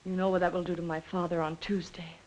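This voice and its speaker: A woman's voice: on the low side, level tone, a little hiss or hum, close to an everyday voice, low-quality recording. An actress playing a poised, earnest young woman under suspicion in a 1940s film noir, speaking politely and carefully. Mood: subdued, composed. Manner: measured, polite, hesitant. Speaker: film actor. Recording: 1940s film soundtrack with score behind.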